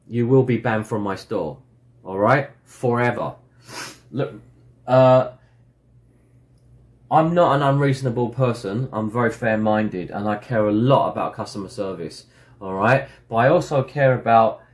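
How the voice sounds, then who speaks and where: A middle-aged man and toy shop owner, hoarse from illness, chatting casually to his customers with lots of fillers and restarts, filmed by a desk camera in a stockroom. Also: fairly low pitch. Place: indoor stockroom full of toy boxes.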